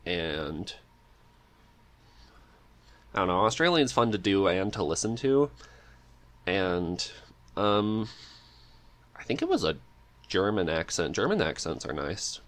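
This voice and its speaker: A man's voice: low voice, big pitch swings, slow pace, pauses often, a bit bright. A man chatting casually as an interview guest, thinking aloud in a hesitant, easygoing way. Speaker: podcast guest, script writer and amateur voice actor.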